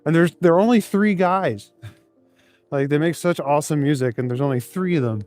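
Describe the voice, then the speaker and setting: A man's voice: fairly high pitch, normal speed, a little mellow, soft background music. A man live streaming while out on cold city streets, reading chat aloud in an easygoing, chuckling, rambling way. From Canada.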